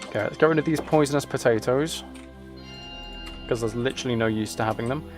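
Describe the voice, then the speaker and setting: A man with a mid-pitched voice is live streaming a game. He talks casually to his viewers and muses aloud in a rambling way, with music playing behind him. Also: fairly quick. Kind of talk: casual chat with viewers while gaming.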